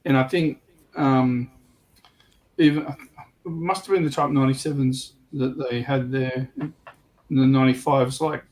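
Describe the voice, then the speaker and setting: A man's voice: medium pitch, fairly even intonation, a little slow, pauses often, a little mellow, poor audio. A man chatting casually as a livestream panelist, in a tentative, loosely phrased way. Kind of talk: casual hobby chat.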